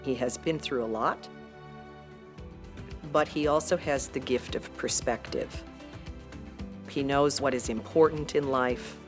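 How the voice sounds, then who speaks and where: Very low-voiced admiring woman. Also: a little slow, pauses often, over music. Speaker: university staff introducing a student. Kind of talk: documentary interview and event introduction.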